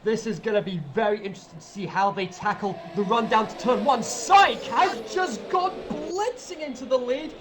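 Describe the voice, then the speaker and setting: A man's voice: extremely high pitch, normal speed, few pauses, noisy background. A man co-commentating a live sim race, joking and exclaiming as the action unfolds. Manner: animated, joking, exclaims loudly.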